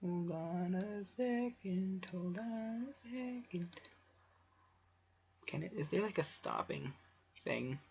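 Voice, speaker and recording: A man's voice: high voice, expressive, slow, pauses often, a little mellow, slight background noise. A man reading a story aloud with casual joking asides, stumbling over words and rambling self-deprecatingly. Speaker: amateur fanfiction reader. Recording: muffled lo-fi home recording.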